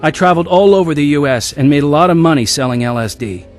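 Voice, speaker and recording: A man's voice: a little high, animated, fast, over loud music, lightly acted. An actor narrating and playing a young man looking back on his wild youth in a radio drama.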